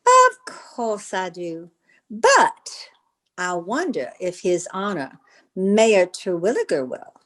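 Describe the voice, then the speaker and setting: Woman playing slow, swooping coquettish schemer. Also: medium pitch, big pitch swings, fairly bright tone. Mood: coy, scheming. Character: coquettish, scheming woman wheedling her beau. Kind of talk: old-time radio comedy acting.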